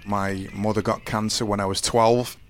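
Deep-voiced man, halting and earnest. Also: level tone, a little hiss or hum. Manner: slow, halting, earnest storytelling with many restarts. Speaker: interview guest. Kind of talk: candid personal interview.

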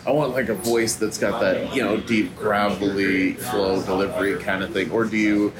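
A man's voice: fairly low pitch, fairly even intonation, moderate pace, low-quality recording. A man hosting a podcast interview, asking long, rambling questions. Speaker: podcast host. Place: downtown coffee shop. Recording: loud coffee shop crowd behind.

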